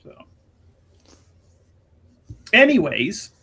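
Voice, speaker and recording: Higher-pitched man chatting through a roleplaying game. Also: huge pitch swings, extremely slow, gravelly, bright, forceful tone, breathy edge. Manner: chatty, agreeable, often echoing the other speaker. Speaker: tabletop roleplaying player. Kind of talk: casual chat, improvised storytelling.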